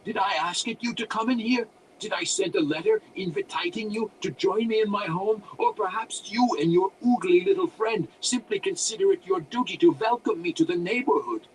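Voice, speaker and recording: A man's voice: high voice, animated, moderate pace, few pauses, a little hiss or hum, pitched above the performer's own voice, poor audio. A man voicing a hysterical, cranky old hermit wizard in an audiobook, rambling and shrieking in broken grammar. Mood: indignant ranting. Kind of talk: audiobook character voice.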